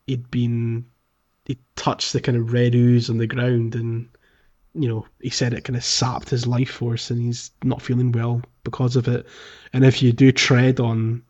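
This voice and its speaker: A man's voice: medium pitch, a little mellow. Casual man, slightly dull lo-fi recording. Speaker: podcast co-host. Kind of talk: casual chat about a video game playthrough.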